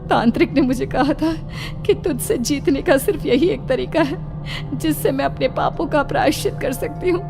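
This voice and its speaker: A woman acts out a guilt-stricken mother in a cartoon melodrama. Her voice is very high, emotional and pleading. Faint music sits underneath. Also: moderate pace. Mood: resolute. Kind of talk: melodramatic animated story acting.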